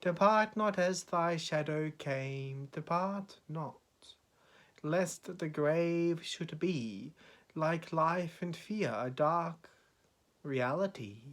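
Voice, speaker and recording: Male poetry reader, grave and dramatic, extremely unhurried, measured phrasing with weighty pauses. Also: a little high, animated, fairly bright tone. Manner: solemn, measured delivery with deliberate pauses.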